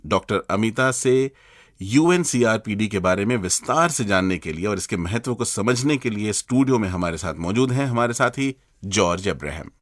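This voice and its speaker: A man co-hosting a radio show, reading a scripted conversation in a lively, conversational way. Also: fairly low pitch, moderate pace. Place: radio studio.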